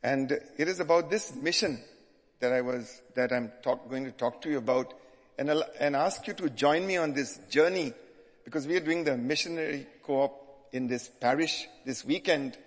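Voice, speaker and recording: Older Indian-accented bishop, halting delivery, in a reverberant hall. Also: male voice, mid-range voice. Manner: hesitant, frequent restarts. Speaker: visiting bishop. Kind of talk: sermon-style address.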